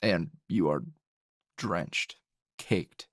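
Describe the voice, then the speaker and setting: A man playing a gruff, flirtatious male anime hero in an intimate ASMR roleplay, gravelly and husky, teasing and halting. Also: low-pitched, no room sound, clean studio sound, heavily acted. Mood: flustered, flirtatious. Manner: slow, teasing, halting with sighs and chuckles.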